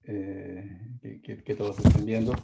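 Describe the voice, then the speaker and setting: A man presenting a webinar talk, halting with many fillers and restarts, over a video-call mic. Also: lowish voice, flat delivery, creaky, background noise. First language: Spanish. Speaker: webinar speaker. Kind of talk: hesitant presentation. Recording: dull lo-fi webinar audio.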